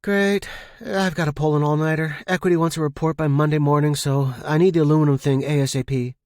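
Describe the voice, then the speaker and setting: A curt, businesslike woman boss in a narrated story, acted out by a man. The voice is pushed up high and moves fast, pressing for results with an edge of impatience. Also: steady tone, a little mellow, dry recording, clean studio sound, lightly acted. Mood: brisk, demanding. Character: brisk, demanding female office boss on edge. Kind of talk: narrated story character dialogue.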